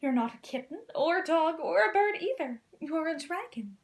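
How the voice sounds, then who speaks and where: A young storybook princess, played by a woman with a high voice. She is kind, caring and encouraging, speaking in an earnest, gentle way. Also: animated, moderate pace. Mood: exasperated.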